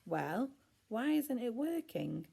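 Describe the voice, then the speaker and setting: A woman's voice: fairly high pitch, sing-song, slow pace, gravelly, dark tone, husky, voice pushed up, exaggerated voice. A woman voicing a bossy, fearless young girl with a demanding manner in a children's story.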